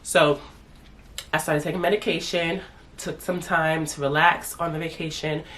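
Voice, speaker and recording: Congested woman vlogger, low-pitched. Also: steady tone, normal speed, fairly bright tone. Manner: chatty, calls herself "your girl". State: congested from a sinus infection. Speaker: family vlogger. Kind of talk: casual chat to camera.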